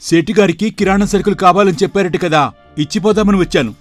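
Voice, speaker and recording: A man acts out a poor young shop clerk from a moral tale. His voice sits a little high and his manner is respectful and sincere. Soft music plays underneath. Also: quick pace, natural voice. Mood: polite, earnest. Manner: polite and deferential. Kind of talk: dramatized moral story for video.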